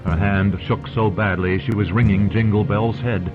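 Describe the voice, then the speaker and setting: A man's voice: on the low side, fairly even intonation, normal speed, phone-quality sound. A man narrating an audiobook as dramatic scripted storytelling. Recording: loud music behind narration. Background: loud music.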